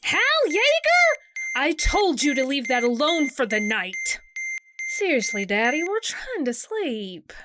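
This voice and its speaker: A high, swooping falsetto of a tired wife scolding her husband in the night. She drags out her words slowly and sounds fed up. A noisy hiss sits under the voice. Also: wildly swooping pitch, exaggerated voice. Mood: exasperated.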